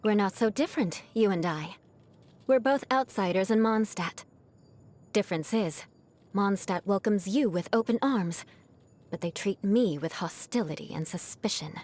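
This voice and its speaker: A woman's voice: mid-range voice, lively intonation, normal speed, a little mellow, natural voice. A woman voicing a proud young aristocratic knight in a video game, with a haughty, formal, teasing manner and a vengeful streak that hides a caring side. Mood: proud, reflective. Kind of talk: scripted video game character voice lines.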